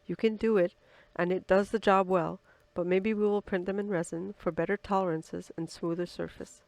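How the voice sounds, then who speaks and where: A woman's voice: fairly low pitch, steady tone, normal speed, a little mellow, no echo. A woman who is slightly under the weather with flu, narrating step-by-step build instructions from a script. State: sick with flu, slightly odd voice. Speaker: co-presenter of build tutorial.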